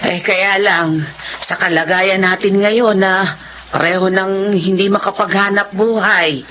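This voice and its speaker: A woman's voice: mid-range voice, steady tone, a little slow, a bit bright, close to an everyday voice. A performer voicing a weary older mother. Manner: weary, sighing. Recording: lo-fi radio drama with hiss.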